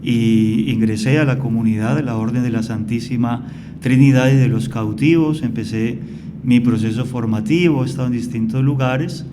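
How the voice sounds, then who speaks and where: A man from Colombia, a priest guest on a radio interview, explaining at length in a calm, storytelling way. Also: medium pitch, moderate pace, noisy background.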